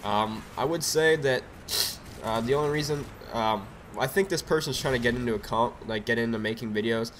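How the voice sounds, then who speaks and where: A man's voice: mid-range voice, brisk, a bit bright, a little hiss or hum, low-quality recording. Young man with a cold, rambling over gameplay. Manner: rambling, filler-heavy, laid-back. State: has a cold, a bit raspy. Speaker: gameplay commentator. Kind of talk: casual gameplay commentary. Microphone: USB desk mic.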